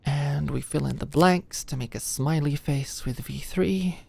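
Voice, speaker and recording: A woman's voice: deep voice, measured pace, vocal fry, darkish tone, breathy edge, heavily acted. A woman playing an anxious, flustered young female medical student in an intimate ASMR roleplay, shy, hesitant and stammering. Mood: nervous, focused. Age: young adult. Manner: stammering, hesitant, muttering asides under breath. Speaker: voice actor in audio roleplay.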